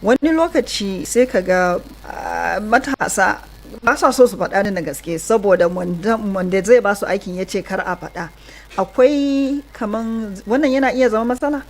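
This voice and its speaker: A woman's voice: mid-range voice, moderate pace. A Nigerian woman hosting a bilingual radio talk show, putting questions to a guest and addressing listeners. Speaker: radio host. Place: radio studio.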